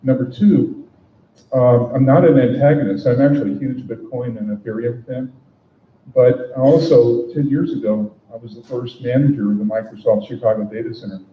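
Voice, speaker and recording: An older man from the audience asks questions, pausing often and laying out his background before he gets to his point. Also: mid-range voice, fairly even intonation. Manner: hesitant, explains his background at length. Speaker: audience member asking questions. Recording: roomy, distant, dull lo-fi sound with faint noise.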